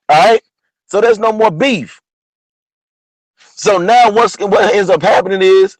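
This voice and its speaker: A man with a partly lost, hoarse voice, talking casually about history as host of an online show, often asking "you know what I'm saying?". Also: high voice, no echo, low-quality recording. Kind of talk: casual history talk monologue.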